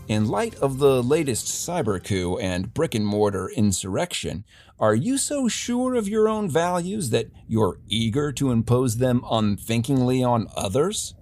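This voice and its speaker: A man's voice: mid-range voice, a little mellow. A man playing a wry, defiant news host in a dystopian future city, delivering a satirical scripted newscast in a theatrical, mock-grand way. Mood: mock-solemn pitch.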